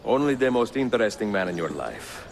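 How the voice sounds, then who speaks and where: An actor playing a suave, confident older Italian adventurer in a game cutscene, with an Italian accent and measured delivery. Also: male voice, lowish voice, sing-song, vocal fry, long reverb tail, a little hiss or hum. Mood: charming. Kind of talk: dramatic video game cutscene acting. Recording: music behind the voice.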